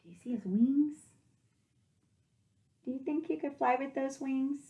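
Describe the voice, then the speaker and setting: A woman teacher reading a picture book aloud to young children, expressively, with gentle questioning asides to the listeners. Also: high-pitched, a little slow, lo-fi sound.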